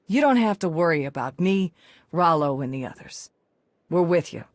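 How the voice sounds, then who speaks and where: A woman's voice: fairly low pitch, normal speed, no echo, natural voice. An actress playing a brash, sarcastic young female mercenary pilot in a video game. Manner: brash, sarcastic, lively delivery.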